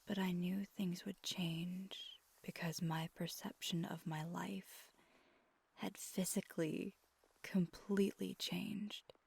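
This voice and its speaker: Breathy, husky vampire girlfriend acted by a woman. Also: fairly low pitch, fairly even intonation, exaggerated voice. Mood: reflective, earnest. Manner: slow, gentle, intimate. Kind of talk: ASMR roleplay, soft whispered pillow talk.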